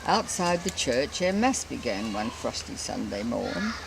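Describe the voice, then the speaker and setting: A woman's voice: a bass voice, big pitch swings, a little slow, vocal fry, noisy background. An older Australian woman giving a theatrical, lilting recitation of a bush ballad to her family in an amateur recording. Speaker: family matriarch reciting a poem. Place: family Christmas gathering.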